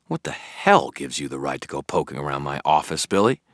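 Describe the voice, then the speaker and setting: A man's voice: a bass voice, big pitch swings, brisk, fairly bright tone, no echo, full character voice. A hot-tempered wizard detective, played by a male audiobook narrator, stubborn and defiant. His lines are curt and sardonic, delivered close and dry. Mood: angry, defiant. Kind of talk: acted audiobook dialogue.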